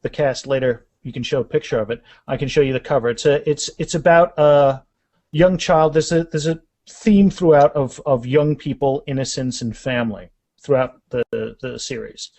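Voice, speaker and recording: A man's voice: medium pitch, steady tone, normal speed, darkish tone, no echo. A man talking casually as an author in a podcast interview, often restarting and repeating words. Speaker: author being interviewed about their fantasy series.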